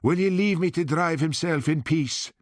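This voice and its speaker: A broad-spoken Scottish truck driver, odd and matter-of-fact, who talks about his truck as if it were a person, as a male reader plays him. The voice is lifted well above the reader's own pitch and sounds close and dry. This is audiobook character acting. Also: normal speed, no echo, lightly acted. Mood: eccentric.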